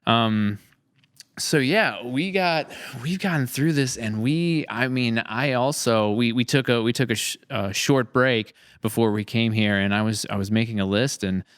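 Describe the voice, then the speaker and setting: A man's voice: medium pitch, a little slow, a bit bright, clear, resonant tone, dry recording, clean studio sound. A man hosting a podcast in casual conversation, talking in an enthusiastic, rambling way. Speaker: podcast host.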